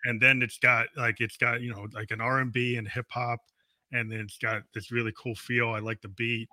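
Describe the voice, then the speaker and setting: Male host, fifties, bright tense tone, loose rambling interview style. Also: mid-range voice, flat delivery, normal speed, reverberant. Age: 50s. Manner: rambling, restarts often, laughs easily. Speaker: radio show host. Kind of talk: casual interview chat.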